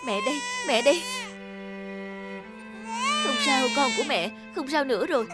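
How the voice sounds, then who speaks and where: A woman's voice: sits high, lively intonation, moderate pace, loud music behind the voice. A woman voicing a young, doting and anxious mother in a cartoon. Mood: tearful relief. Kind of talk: cartoon voice acting.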